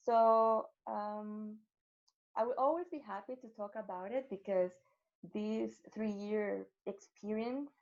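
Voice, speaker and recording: A woman from El Salvador with a Salvadoran Spanish accent gives a prepared talk as a guest speaker, haltingly searching for words. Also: medium pitch, a little mellow. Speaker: guest speaker, coffee professional. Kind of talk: prepared talk at an online festival. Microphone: video call. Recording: dull lo-fi online call audio.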